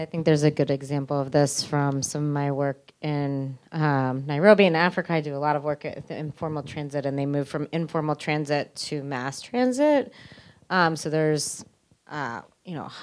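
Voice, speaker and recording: Low-voiced emphatic woman on a panel. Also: normal speed, darkish tone. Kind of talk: conversational panel discussion. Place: indoor auditorium panel before an audience. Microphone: handheld microphone.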